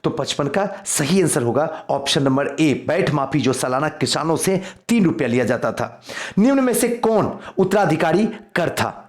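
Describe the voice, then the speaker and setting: A man's voice: on the high side, lively intonation, moderate pace. A man teaching an exam quiz lesson, reading out questions and repeating key answers for emphasis. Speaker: history teacher for civil service exam coaching.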